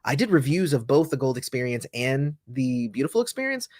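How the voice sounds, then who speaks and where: A man's voice: medium pitch, very animated, brisk, a little mellow, dry recording, clean studio sound. A man hosting a casual livestream, reading viewer comments aloud and rambling through answers with many fillers and restarts. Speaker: host answering livestream chat.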